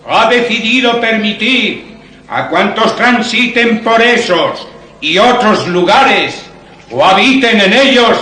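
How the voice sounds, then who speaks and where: A woman's voice: fairly high pitch, a bit bright. A woman playing a solemn town crier reading out an official proclamation in a formal, declamatory way for a radio drama. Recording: echoey, distant from the mic.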